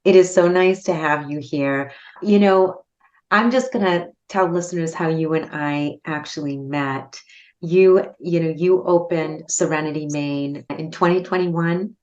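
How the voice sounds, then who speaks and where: A woman with a notably low voice hosts a podcast interview, greeting her guest with upbeat energy and steering the talk with probing questions. Her voice comes through slightly dull and lo-fi, with the highs muffled. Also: measured pace, darkish tone. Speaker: podcast host. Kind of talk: conversational interview.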